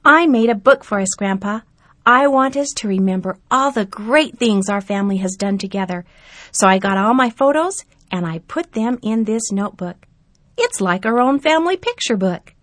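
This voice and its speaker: A woman voicing an excited, earnest young boy in a storybook reading, his lines pitched high and loud. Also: moderate pace, no room sound, clean studio sound, natural voice. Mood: eager. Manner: high and eager, loud exclamations.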